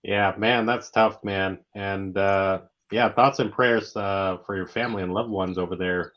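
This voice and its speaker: A man hosting a casual interview, speaking informally and haltingly, often repeating his words. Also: deep voice, fairly even intonation, a bit bright. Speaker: interviewer, show host. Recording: dull lo-fi online audio.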